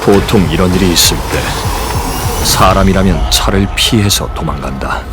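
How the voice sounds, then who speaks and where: An actor playing a grim, determined paranormal agent, narrating gravely and deliberately in an audio drama. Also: male voice, low voice, over loud music, lightly acted. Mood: grave, tense. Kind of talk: dramatic first-person scripted narration.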